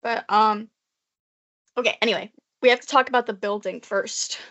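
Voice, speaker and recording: Young woman podcast co-host, rambling with fillers, higher voice, muffled lo-fi sound. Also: moderate pace, a bit bright. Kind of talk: casual chat. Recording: muffled lo-fi recording.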